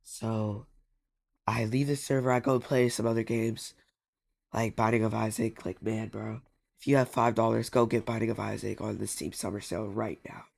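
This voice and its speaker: A man's voice: lowish voice, little pitch movement, normal speed, creaky, darkish tone. A young man with a congested, stuffy voice, telling a casual rambling story about a game and repeating himself often. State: congested from a cold. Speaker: gaming YouTuber.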